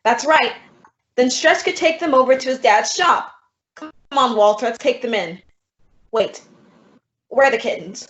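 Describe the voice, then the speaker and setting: Wry, practical lady teacher for radio comedy, woman actor, voice on the high side, muffled recording. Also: a little slow, long pauses, fairly bright tone. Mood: exasperated. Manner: dry, wry. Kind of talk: old-time radio comedy acting with narration. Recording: dull lo-fi radio drama audio.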